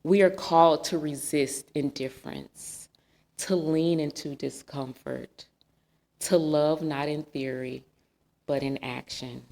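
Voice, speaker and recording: Slow, low-voiced woman preaching. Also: steady tone, darkish tone. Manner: earnest, reflective, with rhetorical questions. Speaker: guest speaker. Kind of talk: sermon. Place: church sanctuary.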